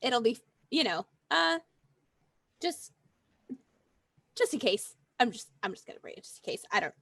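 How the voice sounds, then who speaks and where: A woman's voice: on the high side, big pitch swings, moderate pace, creaky, a bit bright, no echo. A woman playing a tabletop roleplaying game, talking casually and narrating what her character does.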